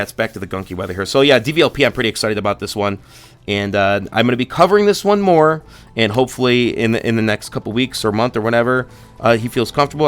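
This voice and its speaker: A man's voice: fairly low pitch, a bit bright. A young man hosting a casual stock update, rambling and restarting often, congested and losing his voice. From Buffalo, New York area. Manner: rambling, restarts often, reads quotes haltingly. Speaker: stock channel host.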